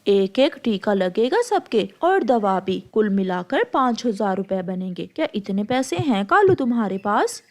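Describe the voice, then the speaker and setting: A woman's voice: mid-range voice, big pitch swings, unhurried, hardly any pauses, a little mellow, pitched below the performer's own voice. A woman voicing a firm, businesslike female bulbul doctor in a cartoon. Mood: firm, brisk.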